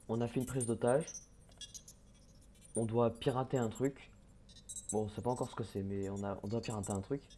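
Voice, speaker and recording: A man's voice: mid-range voice, mellow. Hesitant young man mumbling through gameplay. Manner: hesitant, many fillers and restarts, mutters while playing. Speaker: gaming YouTuber. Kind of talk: casual let's-play commentary. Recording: faint background noise.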